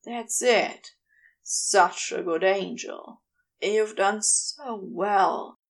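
A woman voicing a quietly commanding but tender male lover in an audiobook, speaking in an intimate, coaxing way. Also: mid-range voice, very slow, vocal fry, exaggerated voice.

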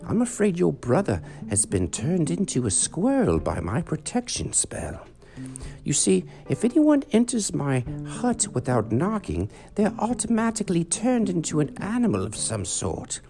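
A man voicing a kindly, fussy old witch woman in a quavering high voice. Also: huge pitch swings, measured pace, vocal fry, full character voice. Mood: worried. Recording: guitar music behind.

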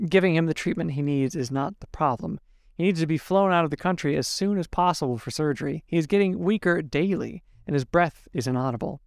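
A woman's voice: deep voice, brisk, a little mellow, no echo, studio quality. A woman with a Nigerian accent voicing an earnest, grave doctor, formal and urgent, in a story reading.

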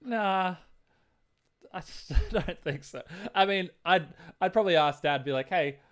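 A man co-hosting a casual film chat, easygoing and halting, often breaking into chuckles. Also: high voice, smooth. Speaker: podcast co-host.

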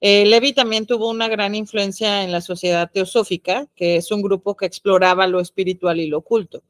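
A woman's voice: medium pitch, fairly even intonation, a little fast, no room sound, clean studio sound. A woman with a Mexican accent co-hosting a podcast, talking in a chatty, playful way with slangy asides. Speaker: podcast co-host. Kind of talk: casual podcast chat about the occult.

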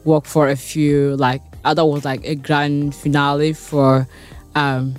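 A woman in her twenties from South Sudan, raised in Australia, answering interview questions in a soft, hesitant way with many fillers. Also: low voice, little pitch movement, measured pace, faint music behind. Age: 20s. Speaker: model and guest. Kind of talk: casual interview chat.

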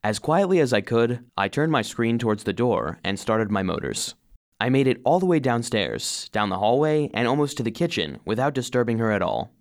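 A man's voice: medium pitch, very animated, normal speed. A man playing an earnest, eager cleaning robot who narrates his own story expressively in a children's audio drama. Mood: excited, hushed.

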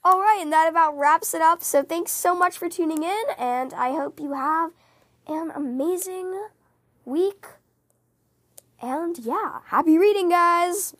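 Female podcast host, very high voice scratchy with a cold, chatty rambling fan talk, dry close sound. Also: unhurried, no room sound. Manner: giggly, rambling, animated.

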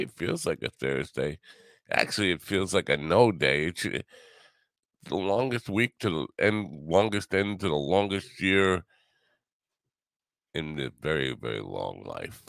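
An older man hosting his own podcast in a rambling solo monologue, grumbly and low, wry and self-mocking. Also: very animated, unhurried, vocal fry, fairly bright tone, no echo, clean studio sound. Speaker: podcast host.

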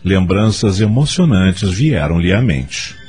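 A man's voice: low voice, very animated, slow, a little mellow, faint music behind, full character voice. A man with a Brazilian accent narrating a radio drama in solemn storytelling style.

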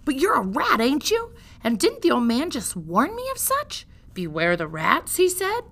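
Simple storybook serving girl, played by a woman reader: young, loud, gullible, rustic, pitch leaping about. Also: huge pitch swings, moderate pace, full character voice. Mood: startled, blunt. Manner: rustic, uneducated phrasing, exclaiming loudly.

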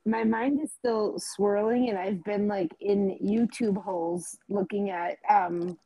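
Female co-host, unhurried, dry close sound, conversational. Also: mid-range voice, fairly even intonation, gravelly, warm tone, no room sound, rough recording. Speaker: podcast co-host. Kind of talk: casual chat.

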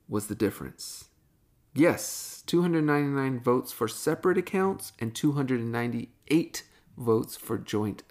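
A man co-hosts a casual podcast in a mid-pitched voice. He is chatty and enthusiastic, often breaking off to rephrase himself. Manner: chatty, self-correcting, enthusiastic. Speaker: podcast co-host.

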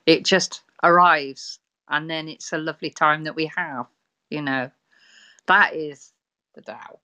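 A woman guest in a casual live chat, speaking in a slow, affectionate and reflective way. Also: sits low, fairly even intonation, sharp tone, clean tone.

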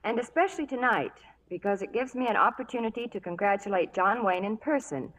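A woman's voice: medium pitch, a little fast, fairly bright tone, a little hiss or hum, rough recording. A young American woman in scripted 1940s radio promotional chat, poised and polite. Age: young adult. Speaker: actress, interview guest.

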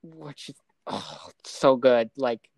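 A man's voice: medium pitch, slow pace, gravelly, dry recording. A man co-hosting a podcast, chatting casually and enthusiastically about films in an informal, giggly way. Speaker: podcast co-host. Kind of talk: casual film discussion chat.

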